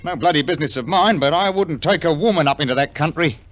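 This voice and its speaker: An actor playing a blunt, darkly amused outpost storekeeper in a 1950s radio drama. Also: male voice, on the high side, fast, a bit bright, close to an everyday voice. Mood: darkly warning. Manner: blunt, swooping, colloquial. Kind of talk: 1950s radio character acting.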